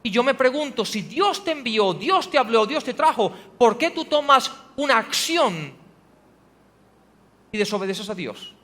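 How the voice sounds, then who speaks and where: A man's voice: extremely high pitch, big pitch swings, measured pace, bright, forceful tone. A male pastor preaching a sermon in a church, pressing the congregation with rhetorical questions and rising to emphatic passages. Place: church hall. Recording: long hall reverb.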